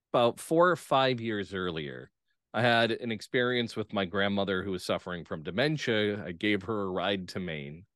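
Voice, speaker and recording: A man's voice: lowish voice, a bit bright, clear, resonant tone, no room sound. Enthusiastic man in a close, dry recording. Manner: enthusiastic, punctuates points with "right?". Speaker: playwright and actor, podcast guest. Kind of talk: casual interview chat.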